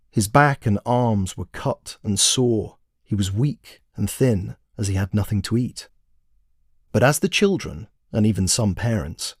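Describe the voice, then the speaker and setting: A man's voice: fairly low pitch, slightly rough, no echo, clean studio sound. A man narrating a story expressively, in the manner of a storybook reading. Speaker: author and narrator.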